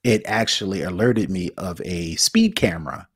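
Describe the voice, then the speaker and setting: Male co-host, low voice, dry close sound, easygoing and halting. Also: husky, dry recording. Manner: relaxed, hesitant with many fillers. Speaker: podcast co-host. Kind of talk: casual tech chat.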